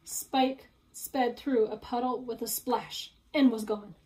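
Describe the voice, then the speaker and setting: A woman's voice: fairly high pitch, fairly even intonation, slow, a little mellow, rough recording. A young adult woman reading a picture book aloud to children in a teacherly role. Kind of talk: storybook read-aloud. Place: small room at home, indoors.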